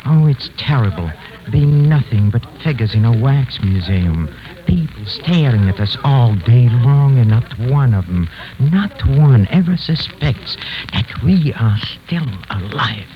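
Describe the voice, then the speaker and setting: An actor playing a tormented, bitter living wax figure, brooding and halting in 1940s radio style. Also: male voice, mid-range voice, lively intonation, natural voice. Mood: anguished. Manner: brooding, halting, repeats himself. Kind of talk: 1940s radio acting, inner monologue. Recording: dull, lo-fi 1940s radio recording.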